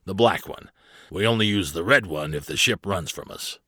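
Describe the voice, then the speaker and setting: Man playing a brisk, commanding pirate mate. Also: low-pitched, pushed and bright, slightly rough, dry recording, studio quality, full character voice. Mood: firm, instructing.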